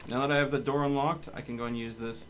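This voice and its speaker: Bright-voiced man streaming a game. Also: medium pitch, fairly even intonation, fast talker, a little hiss or hum. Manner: talks to himself in short running remarks. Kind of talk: live gameplay commentary.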